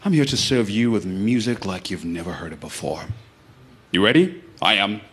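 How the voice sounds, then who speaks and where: A man's voice: fairly low pitch, expressive, moderate pace, fairly bright tone. A man playing a brash, boastful radio DJ in a live radio drama, with a swaggering, playful manner. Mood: showy, boastful. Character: brash, boastful young male mid-morning radio DJ.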